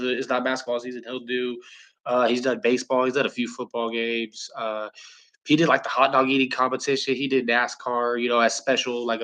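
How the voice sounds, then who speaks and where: A man's voice: mid-range voice, a bit bright, poor audio. A man from Southern California hosting a live stream, chatting casually and calling plays, rambling with lots of fillers and casual swearing. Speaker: livestream host and play-by-play commentator.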